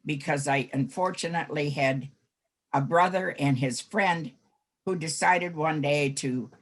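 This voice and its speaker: An 89-year-old American woman reminiscing in a group interview, with halting, deliberate phrasing. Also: deep voice. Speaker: former scout troop helper.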